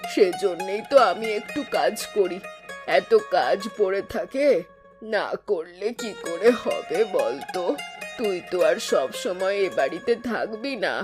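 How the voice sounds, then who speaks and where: A woman plays a middle-aged village mother in a cartoon folk tale. She is firm and complaining in a mid-range voice, with clear plucked-string music behind her. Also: medium pitch, lightly acted. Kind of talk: animated Bengali folk tale voice acting.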